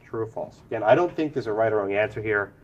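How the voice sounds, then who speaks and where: A male law professor runs a class through questions, calling on students and pushing them to explain. He sounds a bit congested, his voice lower than usual. Faint background noise hangs in the room. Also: fast, muffled recording. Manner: questioning, Socratic, calls on students by name. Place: law school lecture room.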